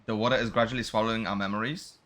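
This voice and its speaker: A man's voice: on the low side, fairly even intonation, rapid-fire, a bit bright. A man giving casual, joking game commentary with slang and swearing, sometimes reading dialogue aloud. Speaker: game streamer.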